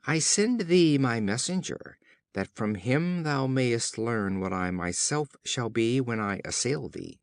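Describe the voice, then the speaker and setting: A man's voice: medium pitch, lively intonation, slow pace, dry recording. A man voicing a proud, threatening bowman in a storybook, declaiming in archaic phrases. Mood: menacing, boastful. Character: proud male bowman, threatening, boastful hunter.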